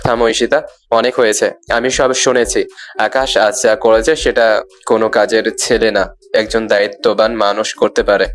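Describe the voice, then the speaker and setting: A man's voice: fairly low pitch, little pitch movement, normal speed, close to an everyday voice. A man playing a stern, authoritative middle-aged businessman father in an audio love story, firm and grave.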